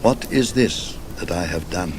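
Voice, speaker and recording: Haunted gentleman traveller, performed by a male narrator, British RP, halting confessional delivery, very animated intonation, faint noise behind. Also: low voice, pitched below the performer's own voice. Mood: shaken, fearful. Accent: British Received Pronunciation. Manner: halting, emphatic, fearful confession. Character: haunted English gentleman traveller recounting his terror.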